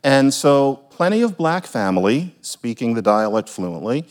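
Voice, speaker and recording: A man's voice: medium pitch, very animated, unhurried. A man from Philadelphia in a casual conversation, talking in a thoughtful, reflective and self-deprecating way. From Philadelphia, USA.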